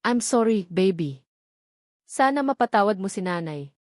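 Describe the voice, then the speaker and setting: A woman's voice: mid-range voice, lively intonation, moderate pace, a little mellow, no echo, clean studio sound, close to an everyday voice. A woman with a Filipino accent voicing a devoted, anxious young mother in a narrated story. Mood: tender.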